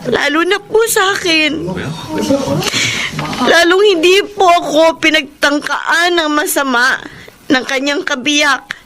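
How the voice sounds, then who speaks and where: A woman's voice: high voice, slow, long pauses, a crowd in the background. A woman acting a remorseful young niece making a formal, emotional public confession in a Filipino radio drama. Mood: ashamed, earnest.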